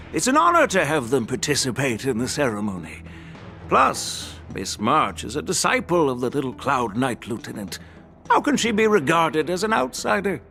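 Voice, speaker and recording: A man's voice: mid-range voice, a bit bright. An actor playing an older, courteous general in a game cutscene, grandfatherly and commanding.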